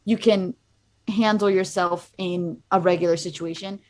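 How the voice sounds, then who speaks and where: A woman's voice: fairly low pitch, steady tone, measured pace. A young woman from Miami, a student abroad, chatting casually as a guest and rambling with many fillers. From Miami, USA. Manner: rambling, heavy use of "like". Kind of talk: casual chat.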